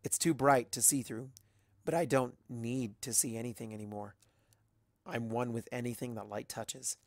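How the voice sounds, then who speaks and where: An expressive storyteller reads fiction in a deliberate, dramatic way. The pitch sits in the middle, and the sound is close and dry, with little room in it. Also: gravelly, dry recording. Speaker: fan fiction reader. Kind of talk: dramatic storytelling narration with acted dialogue.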